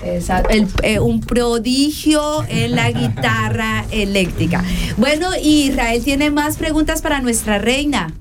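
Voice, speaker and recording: A woman's voice: fairly high pitch, unhurried, noisy background. Effusive woman hosting a talk show. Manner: effusive, flattering. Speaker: show host.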